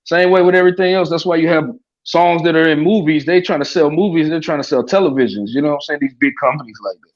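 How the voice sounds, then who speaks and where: A man's voice: on the high side, fast talker. A man on a podcast talking casually and loosely, swearing and dropping lots of "you know what I mean". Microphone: remote stream call. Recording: dull lo-fi sound.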